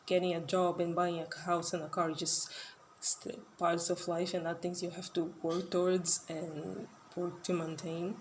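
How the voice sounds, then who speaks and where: A woman's voice: on the low side, background noise. A woman in her twenties talking in a casual solo podcast monologue, rambling and hesitant with many fillers and restarts. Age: 20s. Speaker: podcast host.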